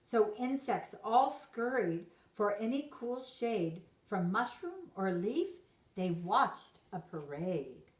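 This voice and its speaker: A woman's voice: mid-range voice, big pitch swings, slow. A woman reading a rhyming children's story aloud expressively, like a teacher to young pupils. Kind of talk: expressive storybook narration in rhyme. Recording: roomy echo.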